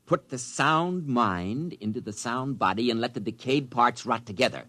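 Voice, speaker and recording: A man's voice: medium pitch, animated, moderate pace, sharp tone, no room sound. A fanatical mad scientist in vintage radio drama, with hugely varied intonation and a close, dry sound. He is callous and theatrical, wheedling one moment and scornful the next. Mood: indignant, fanatical. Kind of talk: 1950s radio drama acting. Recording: vintage 1950s radio broadcast.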